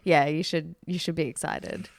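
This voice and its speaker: A woman's voice: low-pitched, fairly even intonation, moderate pace, vocal fry, no echo, studio quality. A woman hosting a podcast in casual chat, bantering in a playful, agreeable way. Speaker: podcast host.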